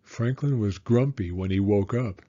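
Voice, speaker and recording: A man reading a children's story in an expressive, deliberate narrating style with theatrical emphasis. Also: lowish voice, lo-fi sound.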